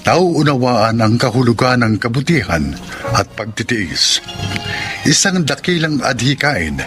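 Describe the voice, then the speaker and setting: Expressive male radio drama announcer. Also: mid-range voice. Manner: measured, solemn phrasing. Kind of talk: dramatic scripted radio narration. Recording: music behind the voice.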